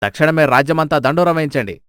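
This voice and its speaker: A man's voice: on the high side, lively intonation, fast talker, a bit bright, smooth, no room sound, clean studio sound. A man voicing a stern, exasperated king with a commanding, scornful manner in a dramatised folk tale. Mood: furious, commanding. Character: stern, exasperated king scolding his ministers.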